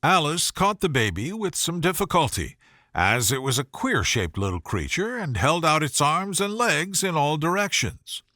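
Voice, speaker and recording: A male audiobook narrator reads a children's story. His voice sits a little high and is bright and crisp in tone, with very lively intonation. The sound is dry and close. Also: normal speed, dry recording.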